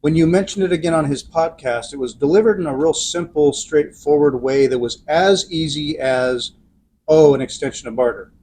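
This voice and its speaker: A man's voice: a little high, normal speed, warm tone, a little hiss or hum, rough recording. Chatty man co-hosting a podcast. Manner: chatty, laughs often, chimes in. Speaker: podcast co-host. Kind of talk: casual podcast chat.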